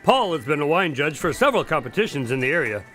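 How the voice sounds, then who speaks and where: A man's voice: a little high, sing-song, fast, bright, forceful tone, soft background music. A man acting a genial, courteous doctor hosting a party in a radio drama, polite and a bit fussy. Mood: polite, reassuring.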